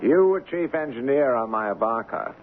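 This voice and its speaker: Male actor as dismissive company boss; mid-pitched, measured, genteel; vintage radio drama with thin, phone-like sound. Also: expressive, close to an everyday voice. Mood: smug, cordial. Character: smooth, self-assured shipping company boss, dismissive. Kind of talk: old radio drama acting. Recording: tinny old radio recording, faint noise.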